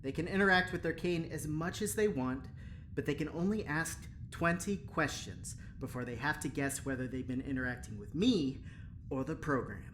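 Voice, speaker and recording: A woman playing an eager, boastful male engineer in an audio drama, talking in an animated, hesitant ramble. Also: low voice, moderate pace, slight background noise, lightly acted. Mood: casual, upbeat.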